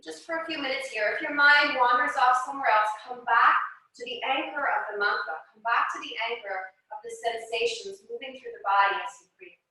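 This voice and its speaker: A woman teaching yoga and meditation, giving gentle, soothing, unhurried cues as she guides a practice. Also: high-pitched, big pitch swings. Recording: echoey room, distant microphone.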